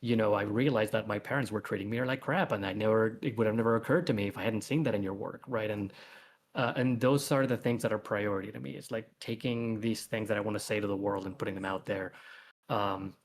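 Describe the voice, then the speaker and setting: A man with a fairly low voice speaks as a webcomic author in a relaxed livestream discussion. He rambles and works out his thoughts as he talks. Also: fast talker, a little hiss or hum. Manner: rambling, thinking aloud.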